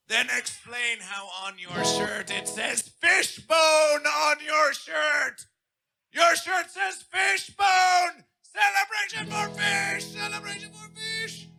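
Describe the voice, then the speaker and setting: A man's voice: extremely high pitch, creaky, sharp tone. A man emceeing a music festival, bellowing comic band introductions full of absurd wordplay. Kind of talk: shouted comic stage introductions.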